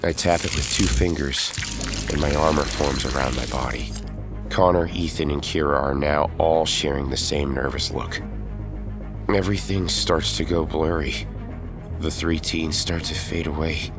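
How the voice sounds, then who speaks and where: A low-pitched young hero, played by a man, telling his own story in an audio drama. He is earnest and dramatic, with music underneath. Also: steady tone, moderate pace. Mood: grave, dramatic. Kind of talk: first-person audio drama narration and dialogue.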